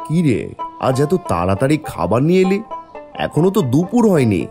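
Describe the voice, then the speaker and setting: A man's voice: mid-range voice, very animated, brisk, dark tone, faint music behind, lightly acted. A man voicing a poor, weary farmer father in a fairy-tale cartoon, fretful in manner. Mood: busy, grumbling. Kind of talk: animated fairy-tale dialogue.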